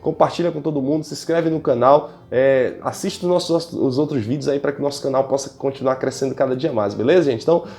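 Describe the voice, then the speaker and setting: A young Brazilian man teaching exam prep in a casual video lesson, animated and slangy, talking straight to his viewers. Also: medium pitch, quick pace, hardly any pauses. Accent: Brazilian Portuguese. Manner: animated, slangy and direct, addresses viewers as "gente" and "cara".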